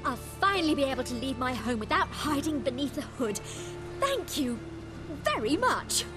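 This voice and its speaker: A spirited, harried street artist from a video game. She speaks high with wide swings of pitch, over faint music. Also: very animated, normal speed. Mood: grateful. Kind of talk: video game character acting.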